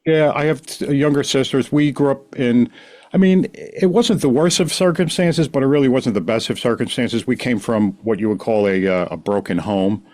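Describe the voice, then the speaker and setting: A man's voice: mid-range voice, moderate pace, darkish tone. A man, a podcast guest telling his story in rambling, self-interrupting casual talk. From New York City, the Bronx. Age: 60s. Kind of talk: casual conversation.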